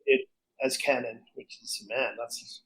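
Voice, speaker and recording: A man's voice: medium pitch, fairly even intonation, a little slow, a crowd in the background, low-quality recording. A man chatting casually as a co-host on a podcast.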